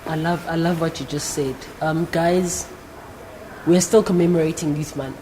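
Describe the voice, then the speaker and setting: A woman with a South African accent hosts a career interview in a low register. Her tone is soft-edged and encouraging as she addresses her audience directly. The sound rings in a large hall with faint noise. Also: steady tone, normal speed. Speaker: interview host. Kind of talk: live career talk show interview before young guests.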